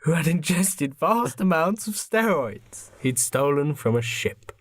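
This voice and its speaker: A man's voice: fairly high pitch, very animated, unhurried, no echo. A man narrating a comic radio play as podcast host, in a mock-dramatic storytelling style.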